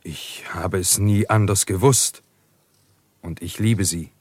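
A proud young warrior in a radio western. His voice is fairly low and dryly recorded, and his delivery is earnest, formal and terse, with a fiery temper. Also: slow, a little mellow, slightly rough, dry recording, exaggerated voice. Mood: earnest, pained. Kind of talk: German radio drama acting.

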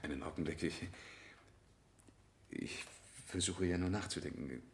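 An actor playing a nervous, evasive accused murderer in a 1970 German radio drama, halting and defensive. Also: male voice, sits low. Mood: faltering.

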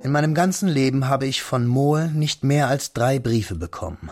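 A man playing a reflective young man who narrates his melancholy past in measured, literary radio drama style. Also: medium pitch, expressive, close to an everyday voice. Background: music.

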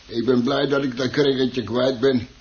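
A man's voice: mid-range voice, steady tone, moderate pace, darkish tone, close to an everyday voice. An actor playing a folksy, insistent man in a radio play, colloquial and deferential in manner. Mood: insistent, generous. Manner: colloquial, deferential, repeatedly saying sir. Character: folksy, insistent man giving away a strange creature. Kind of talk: Dutch radio play acting. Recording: dull, noisy old radio play recording.

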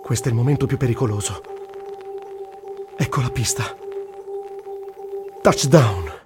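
A man reads a first-person travel diary as scripted radio theatre, in a measured storytelling manner. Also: mid-range voice, animated, over music. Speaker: reader in a radio theatre production. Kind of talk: first-person literary narration over music.

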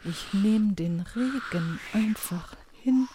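Slow, mellow woman playing with words. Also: medium pitch, slight background noise. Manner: slow, repetitive word play. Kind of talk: improvised spoken-word poetry in short fragments.

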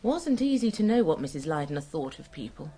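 Confiding English nurse, lowish and lively. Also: female voice, fairly quick. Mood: thoughtful, candid. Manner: confiding, hesitant, then frank. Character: plain-spoken, gossipy but proper woman nurse. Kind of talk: radio drama acting.

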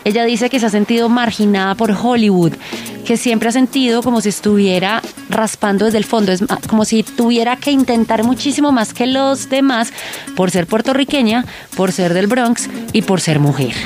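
A woman's voice: on the high side, normal speed, darkish tone, soft background music. Chatty woman co-hosting a radio show. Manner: chatty, recounting a story. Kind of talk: casual radio news chat.

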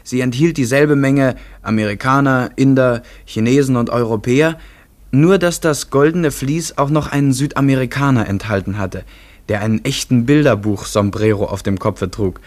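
A man narrating a literary radio play in a measured, precise manner. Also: mid-range voice.

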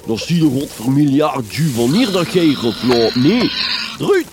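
Irate Flemish farmer, swooping wildly. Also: male voice, medium pitch, big pitch swings, unhurried, background noise. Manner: gruff, swearing. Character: angry cursing Flemish farmer driving a stranger off his land. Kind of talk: comic radio drama acting. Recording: music behind the voice.

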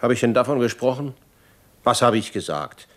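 An actor playing an argumentative, exasperated old gentleman in a German radio drama. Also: medium pitch, expressive, moderate pace, close to an everyday voice. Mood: indignant. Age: older. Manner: exasperated, quibbling.

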